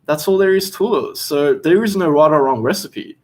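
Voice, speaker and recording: A young Australian man, a chef, hosting a casual livestream Q&A while seated, reading out viewer questions and answering in a relaxed, chatty way. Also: a little high, normal speed. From Western Australia. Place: seated indoors.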